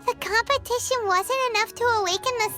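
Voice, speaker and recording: Tiny floating game fairy: extremely high squeaky child voice, chirpy, lively, loud music underneath. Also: female voice, brisk. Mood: puzzled, excited. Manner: chirpy, exclamatory. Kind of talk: anime video game voice acting.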